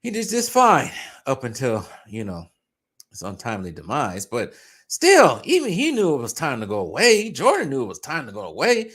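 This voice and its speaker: A man giving a mocking, scornful basketball rant, his voice going hoarse. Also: medium pitch, wildly swooping pitch, moderate pace, a bit bright. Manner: mocking, scornful, pleading in jest. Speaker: sports commentator. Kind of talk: ranting basketball commentary.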